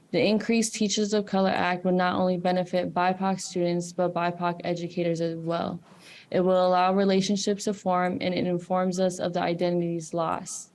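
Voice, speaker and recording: Young woman, student testifier, earnest steady reading, rather low pitch, flat monotone delivery.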